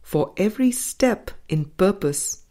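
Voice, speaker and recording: A woman reading a spiritual teaching book aloud in a measured, deliberate manner, pausing between phrases. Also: mid-range voice, expressive, warm tone. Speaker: audiobook reader.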